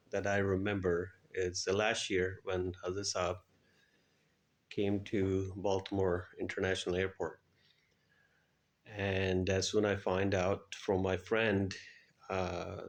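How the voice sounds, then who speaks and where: A middle-aged man answering interview questions and recounting his experiences in a halting way with frequent pauses. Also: sits low. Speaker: guest, air traffic controller.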